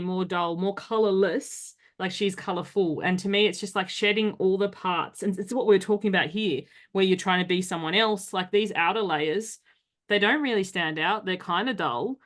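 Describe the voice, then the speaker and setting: A chatty, animated woman hosts a casual podcast conversation. Her voice sits in the middle of the range, and she slips into quick impressions of other people's reactions. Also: medium pitch. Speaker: podcast host.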